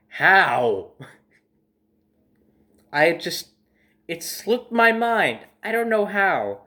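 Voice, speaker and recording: A young adult man telling a casual personal story as host, in a dramatic, emphatic manner with drawn-out phrases. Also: high voice, bright, forceful tone.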